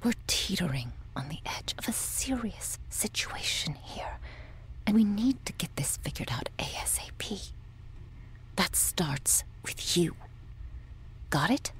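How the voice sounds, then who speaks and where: A woman playing a cold, domineering medic in an ASMR roleplay, speaking in a breathy, condescending near-whisper with quiet menace. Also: lowish voice, sing-song, unhurried, poor audio. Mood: curt, impatient. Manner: condescending, menacing, coolly authoritative. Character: cold, sadistic, domineering woman medic running a captive operation.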